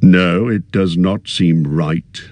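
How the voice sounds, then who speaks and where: Grave old wizard played by a male narrator, low, slow and measured, gravelly grain. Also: expressive, darkish tone, no echo, exaggerated voice. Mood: thoughtful.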